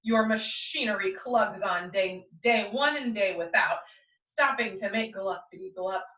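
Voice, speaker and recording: A woman's voice: mid-range voice, a little slow, bright, forceful tone. A woman reading a storybook, voicing an indignant, scolding old forest creature who snaps out declamatory rhymes. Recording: roomy, slightly dull, distant microphone.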